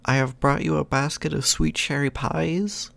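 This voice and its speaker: A man voicing a sly, hungry big bad wolf in a bedtime story, falsely sweet, shifting between growl, squeak and croak. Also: steady tone, normal speed, a bit bright. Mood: sly pretence. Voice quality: deep booming growl, at times squeaky or croaky.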